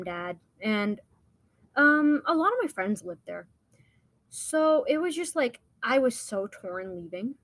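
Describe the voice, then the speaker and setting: A woman's voice: medium pitch, a bit bright, dry recording. A young woman whose first language is Hindi, from India and the United States, telling personal stories in a casual, rambling, informal way as a podcast guest.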